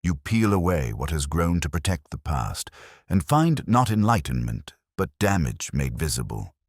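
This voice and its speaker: A man narrating a mystery drama as a brooding, reflective detective inspector, in a measured, solemn and literary delivery. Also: rumbling low, very animated, dry recording, clean studio sound, heavily acted. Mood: reflective, sombre. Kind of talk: scripted first-person mystery narration.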